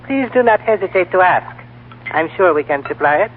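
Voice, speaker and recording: Lamasery guide, courteous, formal, cryptic, slightly high voice, 1940s radio play, thin phone-like sound. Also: male voice, animated. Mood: calm, gracious. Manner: formal, measured, polite insistence. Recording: tinny, narrow old radio recording, faint noise.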